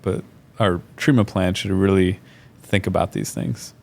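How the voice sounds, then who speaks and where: A man hosts a podcast interview, talking shop as a fellow dentist. His voice sits very low, with a gravelly edge and a flat, even pitch. He speaks in a measured, agreeable way, with frequent ums.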